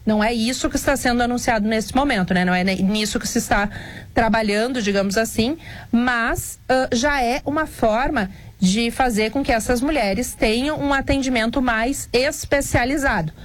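A woman's voice: fairly high pitch, normal speed, no room sound. Woman reporter giving a live radio report. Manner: explanatory, slightly unscripted with fillers.

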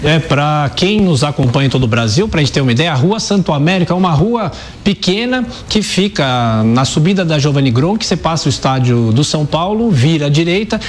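A man's voice: a little high, big pitch swings, a little fast, hardly any pauses. A man with a Brazilian Portuguese accent co-anchoring live news, explaining the scene for viewers. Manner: explanatory, orients the audience.

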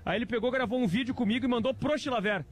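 Fast upbeat field reporter. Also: male voice, very high-pitched. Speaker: sports reporter.

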